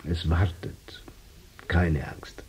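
An actor playing a frail, cryptic old man in a radio drama, breathy and halting in his delivery. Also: deep voice, fairly even intonation, darkish tone. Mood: weary, knowing. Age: older. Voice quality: breathy, whispery, husky. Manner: slow, halting.

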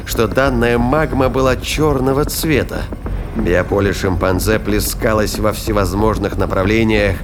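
Low-pitched male audio drama narrator. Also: noisy background. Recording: music behind the voice.

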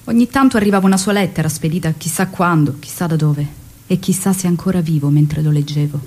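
Devoted, longing wife, slightly husky. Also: female voice, low voice, fast talker, mellow. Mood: tender reminiscence. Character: Aleida, devoted, longing young wife of a revolutionary. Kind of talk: theatrical radio drama monologue and dialogue.

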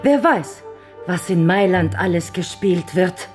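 A woman's voice: fairly low pitch, animated, a little slow, faint music behind. An actress playing a worried, plain-spoken peasant mother in a radio drama, anxious and direct. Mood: troubled, protesting. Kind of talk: German radio drama acting.

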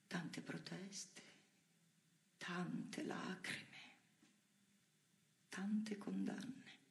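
An actress playing a weary, defiant imprisoned dissident in an intimate theatrical monologue, creaky and breathy, often dropping to a whisper. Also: female voice, fairly low pitch, fairly even intonation, roomy sound, heavily acted. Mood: brooding, bitter. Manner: brooding, drawn-out phrasing.

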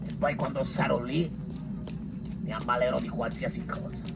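A man's voice: mid-range voice, fairly even intonation, moderate pace, creaky, heavy background noise, natural voice. An actor playing a vain, cowardly young male doctor in a theatrical radio play, blustering, repetitive and frantic. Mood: bitter muttering.

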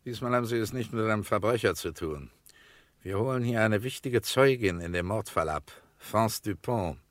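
A persistent, stern investigator played by a man in a radio crime play. His voice sits low, and he speaks formally and deliberately, always insisting. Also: close to an everyday voice. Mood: firm. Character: stern, persistent male investigator pressing a witness. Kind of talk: German radio crime drama acting.

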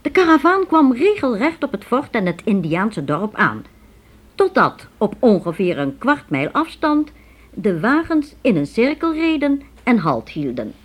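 A woman narrating a radio play as scripted storytelling, measured and expressive. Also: fairly high pitch.

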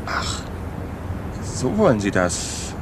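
A man's voice: lowish voice, very animated, slow pace, background noise. A male actor playing a police colleague who reports and asks questions in a radio crime drama. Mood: inquiring. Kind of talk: naturalistic German radio crime drama acting. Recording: distant from mic, busy outdoor background.

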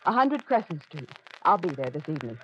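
An actress playing a warm-hearted, idealistic newspaperwoman in an old radio drama, earnest and good-humored. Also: low-pitched, big pitch swings, fairly quick. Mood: sympathetic. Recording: dull, hissy old radio broadcast.

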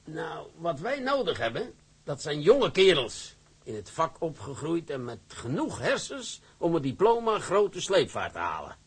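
A stern older shipping director lays out his plans in a gravelly, husky voice. His delivery rises and falls in a lively way as he lectures a young sailor. It is radio drama, with a firm and authoritative manner. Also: on the high side, unhurried, heavily acted. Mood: lecturing.

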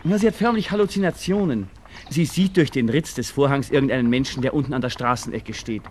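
An actor playing a lovesick, evasive young gentleman, brooding and wistful, in 1960s radio drama style. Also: mid-range voice, quick pace, lightly acted. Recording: noisy old radio play with outdoor ambience.

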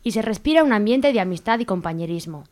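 A woman's voice: medium pitch, expressive, fast talker, darkish tone. A woman reading scripted narration for a radio soap.

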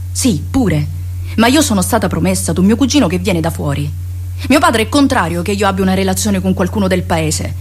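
Husky, expressive young Sicilian country girl. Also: medium pitch. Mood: agitated, troubled. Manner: expressive, chatty, dialect-peppered. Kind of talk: radio theatre monologue, colloquial and confiding.

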